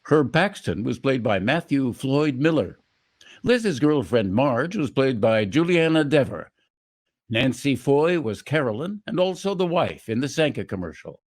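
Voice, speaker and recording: A man's voice: mid-range voice, big pitch swings, unhurried. A man announcing in a formal, scripted radio sign-off style.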